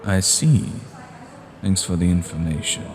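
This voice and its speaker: Man acting very deep, commanding vampire leader. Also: a little mellow. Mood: measured, curious. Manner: deliberate, formal, grave. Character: commanding male vampire clan leader, measured, scheming, cold. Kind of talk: acted fantasy audio drama.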